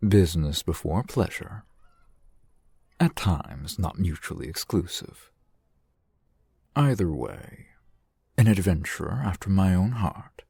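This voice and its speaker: A man playing a genial, teasing, flirtatious fantasy shopkeeper in an ASMR roleplay, unhurried and wry. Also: sing-song, dark tone, no echo, heavily acted. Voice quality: low, gravelly, husky. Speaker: shopkeeper character in audio roleplay.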